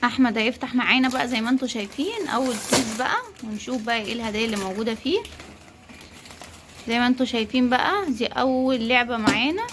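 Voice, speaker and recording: An Egyptian woman talks quickly in a highish voice, presenting a family vlog. She explains things casually to the viewers and chats a child along. The recording is a homemade one with clear background noise. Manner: chatty, explaining, prompting a child. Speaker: mother co-hosting a family unboxing vlog. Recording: clearly noisy home-video recording.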